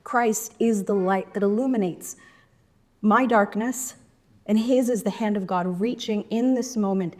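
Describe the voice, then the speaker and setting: A woman painter reading a prepared artist statement in a measured, devotional tone. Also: medium pitch.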